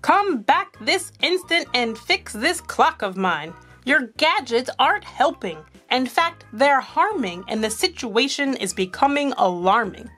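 Indignant storybook porcupine played by a woman, high-pitched, exasperated and complaining. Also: big pitch swings, a little slow, few pauses, sharp tone, soft background music, heavily acted. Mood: alarmed, indignant. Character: flustered, exasperated male porcupine with a noisy clock.

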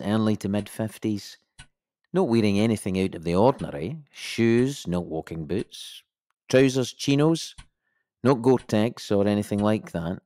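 An actor playing a middle-aged Scottish police inspector who gives measured, wry, matter-of-fact testimony in an audio drama. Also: male voice, fairly low pitch, warm tone, lightly acted. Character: dry, methodical male Scottish police inspector.

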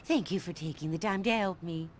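A woman playing a kindly, anxious elderly aunt with a gentle, motherly manner in a game cutscene. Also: lowish voice, fairly quick, a little hiss or hum, close to an everyday voice. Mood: caring. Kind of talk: video game cutscene acting.

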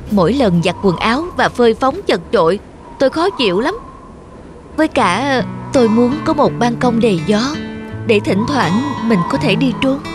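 A woman acts a put-upon young mother in a cartoon, her voice on the high side and tired of housework. Music plays clearly behind her. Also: natural voice. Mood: wistful. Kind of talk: Vietnamese cartoon voice acting. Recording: music under the voice.

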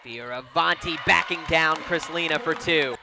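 An excited male play-by-play commentator with a high voice calls each play in quick bursts. A loud arena crowd and steady noise fill the broadcast behind him. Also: vocal fry. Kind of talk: sports commentary.

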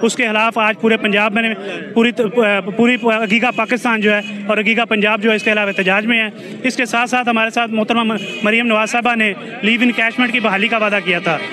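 A man speaking at an outdoor street protest, pausing and restarting his words. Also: high voice, little pitch movement, a crowd in the background. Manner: halting, with restarts. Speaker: teachers' association president. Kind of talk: protest interview.

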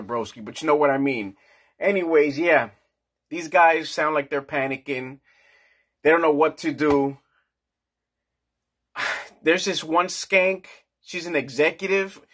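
A man's voice: on the high side, fairly bright tone, husky. A man in his forties giving a casual, crude and rambling rant in a small indoor office. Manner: brash, crude, slangy, rambling. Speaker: vlogger.

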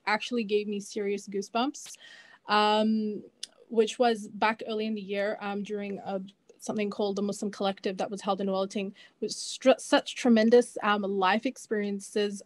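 Young woman introducing a speaker. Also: mid-range voice. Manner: loose, filler-heavy introduction. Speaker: event host. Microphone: laptop webcam mic on a video call.

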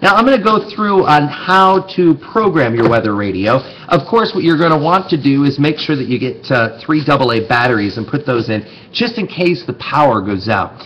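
American man, middle-aged, medium pitch, conversational how-to presenter, explanatory, noisy indoor camera recording. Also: lively intonation, normal speed, few pauses, fairly bright tone, lo-fi sound. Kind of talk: informal instructional demonstration to camera. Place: indoor TV station office with desk and monitors. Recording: clearly noisy room sound, clicks.

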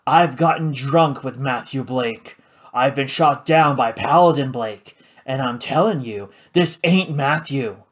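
A man voicing an angry one-eyed gangster who talks loud and gruff. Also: medium pitch, measured pace, lightly acted. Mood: angry, shouting.

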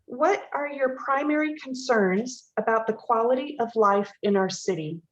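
Echoey, high-voiced woman moderating. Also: normal speed. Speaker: forum moderator. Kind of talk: formal moderating. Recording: echoey room, dull sound, distant.

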